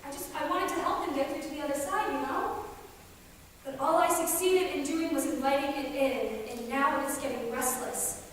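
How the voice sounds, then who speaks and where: A woman in a staged play reading, playing an anguished, frightened wife haunted by spirits, with intense, halting delivery. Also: high voice, natural voice. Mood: anxious, pleading. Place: theatre, recorded from far off. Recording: very echoey hall, distant mic, noisy.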